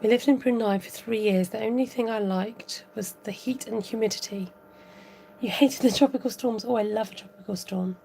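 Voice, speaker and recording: A woman hosting a live card-making tutorial, thinking aloud as she works, chatting with viewers and reading out their comments. Her voice is a little croaky. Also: fairly high pitch, normal speed, mellow, slight background noise, low-quality recording. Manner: rambling, thinks aloud while working. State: slightly croaky voice. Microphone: overhead camera above a craft table, voice from off-frame.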